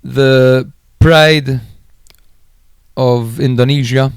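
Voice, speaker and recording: A man's voice: mid-range voice, fairly even intonation, very slow, clear, resonant tone, dry recording, clean studio sound. A man co-hosting a podcast, recapping and analysing football matches in an informal, chatty way. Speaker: podcast host.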